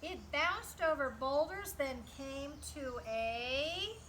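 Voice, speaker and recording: A woman reading a picture book aloud to children in an animated, teacherly way, with emphatic delivery and explanatory asides. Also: high voice, slow, slight background noise. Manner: animated, emphatic, teacherly, asks listeners questions.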